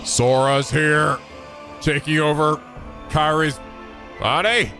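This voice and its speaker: A man's voice: on the high side, fairly even intonation, slow pace, bright, forceful tone, faint music behind. A man giving casual, playful reaction commentary to game cutscenes, joking and swearing freely. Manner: profane, jokey, playful.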